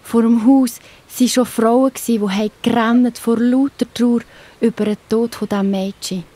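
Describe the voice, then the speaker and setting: A woman's voice: a little high, fairly even intonation, moderate pace, mellow, dry recording. A woman with a Swiss German accent narrating a Bible story for children. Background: faint music.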